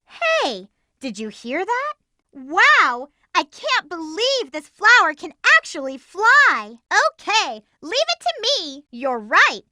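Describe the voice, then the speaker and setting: Very high, perky hedgehog girl. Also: wildly swooping pitch, takes it slowly, pushed and bright, dry recording, clean studio sound, heavily acted. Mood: chatty, determined. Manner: perky, emphatic, exclamatory delivery. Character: spirited, lovestruck young girl hedgehog, bossy and feisty. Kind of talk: video game character voice lines.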